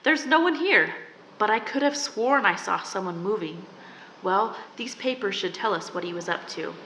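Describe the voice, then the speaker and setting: A woman's voice: medium pitch, expressive, normal speed, pushed and bright. A woman playing a plucky amateur sleuth in a radio drama, earnest and old-fashioned. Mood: alarmed. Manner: earnest, breathless, old-fashioned heroine talk. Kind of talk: 1940s radio drama acting. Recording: noisy background hiss.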